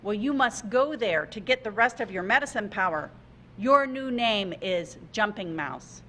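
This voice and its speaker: A woman voicing a wise, calm male frog who guards the water, speaking gently over a video call. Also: medium pitch, measured pace, a little hiss or hum.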